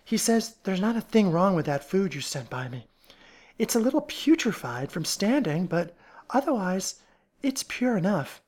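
A man's voice: sits high, big pitch swings, normal speed, a little mellow, voice pushed up. A man voicing an earnest, easily flustered young woman assistant in an audiobook, hesitant, polite and apologetic. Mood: disappointed.

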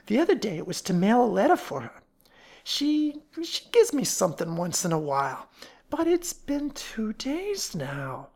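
A woman's voice: medium pitch, very animated, a little slow, a little mellow, voice pushed up. A woman voicing a stout, suspicious, fretful working-class caretaker's wife with colloquial, uneducated speech, in a storybook reading. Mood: puzzled, gossipy. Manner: stolid, colloquial uneducated speech, stammers when scared.

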